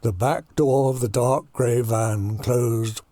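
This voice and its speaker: A man narrating a horror audiobook in a deliberate, dramatic storytelling style. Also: medium pitch, steady tone, warm tone, dry recording.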